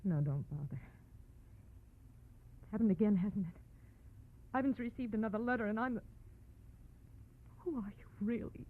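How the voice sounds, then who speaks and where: An actress playing a shy, genteel, anxious young wife in a 1940s radio drama, polite and hesitant, with a husky voice. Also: female voice, mid-range voice, expressive, normal speed, long pauses, lightly acted. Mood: distressed. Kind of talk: 1940s radio detective acting. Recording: tinny old radio recording.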